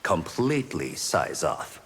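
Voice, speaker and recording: An actor playing a polite, friendly and curious young champion fighter, speaking courteously in a video game. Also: male voice, sits low, big pitch swings, slow pace, fairly bright tone, husky, exaggerated voice. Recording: faint background noise.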